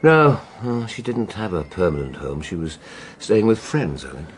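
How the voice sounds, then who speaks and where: A man's voice: low voice, big pitch swings, moderate pace, slightly rough, full character voice. An actor playing a flustered, absent-minded male lawyer in a radio drama, rambling in hesitant stops and starts. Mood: hesitant, obliging. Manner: hesitant, bumbling, stop-start.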